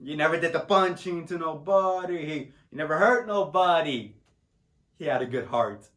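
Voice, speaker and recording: A man's voice: fairly high pitch, moderate pace, a bit bright, close to an everyday voice. A man playing a middle-aged Puerto Rican immigrant father in a solo dramatic monologue, proud, tender and grieving, speaking broken English with a Puerto Rican Spanish accent and rambling with "huh?" tags. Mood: guarded, reminiscing. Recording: echoey room.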